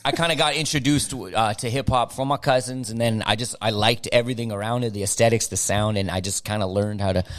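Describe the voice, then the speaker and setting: A man's voice: lowish voice, fast, hardly any pauses, fairly bright tone, dry recording. A middle-aged man, a rapper whose first language is German, talking casually as a podcast guest, laid-back and slangy, often repeating words as he goes.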